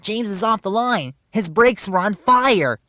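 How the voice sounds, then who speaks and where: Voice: mid-range voice, sing-song, a little fast, no echo, clean studio sound, voice pushed up, lightly acted. A storyteller voicing an eager young male tank engine, earnest and urgent, in a children's story.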